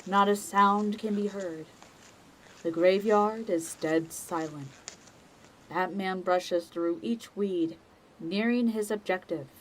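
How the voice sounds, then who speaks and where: Slow, measured woman narrator. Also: medium pitch, slight background noise, poor audio. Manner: measured, deliberate. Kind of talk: scripted dramatic narration.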